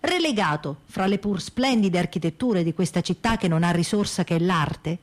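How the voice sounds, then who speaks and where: An actress playing a theatrical woman in a scripted radio play, declaiming memories and farewells in a measured way. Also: fairly low pitch, lively intonation. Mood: solemn recital.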